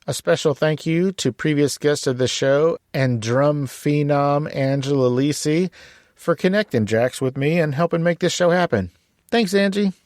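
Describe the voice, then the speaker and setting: A man's voice: on the high side, darkish tone, dry recording. Podcast host, man, jokey and easygoing, dry close mic. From San Antonio, Texas. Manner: jokey, laid-back, self-deprecating. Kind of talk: casual podcast interview.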